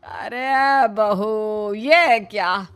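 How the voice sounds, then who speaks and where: A woman's voice: mid-range voice, very slow, clear, resonant tone. A woman voicing a middle-aged mother-in-law in a cartoon story, motherly but fretful and scolding. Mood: exasperated, pleading. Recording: music behind the voice.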